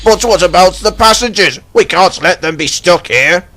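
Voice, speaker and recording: A man's voice: sits high, animated, moderate pace, noisy background. A man voicing a big proud old express engine, wheezy and groaning. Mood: dismayed. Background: loud background music.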